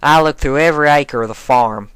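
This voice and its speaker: A man's voice: a little high, a little fast, fairly bright tone, clean tone, dry recording, pitched above the performer's own voice, close to an everyday voice. Man doing a forthright, folksy farm pony mare. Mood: frustrated. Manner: folksy rural diction, forthright. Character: plain-spoken, folksy female farm pony.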